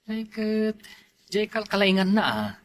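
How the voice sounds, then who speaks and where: An actor with an Ilocano accent playing a fretful, questioning husband in a comedy drama. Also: male voice, high voice, very animated, a little slow. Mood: casual. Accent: Ilocano, northern Philippines.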